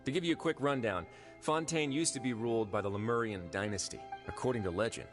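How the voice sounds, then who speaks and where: A man playing a composed, dryly amused prison administrator in a video game, speaking in a measured, suave way. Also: medium pitch, close to an everyday voice. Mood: storytelling. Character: composed, wry male prison fortress administrator. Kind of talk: video game character acting. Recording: game music playing behind the voice.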